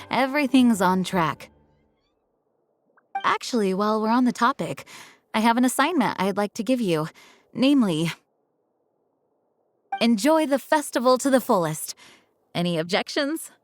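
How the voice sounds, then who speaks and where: An actress playing a dutiful female knight commander, speaking in a formal, measured, courteous way. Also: fairly high pitch.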